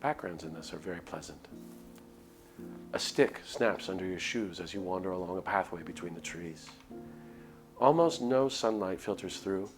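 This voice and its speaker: A man's voice: low-pitched, normal speed. A man streaming a visual novel, reading the narration aloud expressively with casual asides. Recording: music under the voice. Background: game background music.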